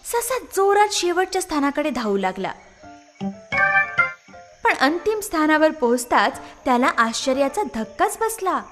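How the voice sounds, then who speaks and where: High, animated woman storyteller. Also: moderate pace, over loud music. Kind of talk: scripted children's story narration.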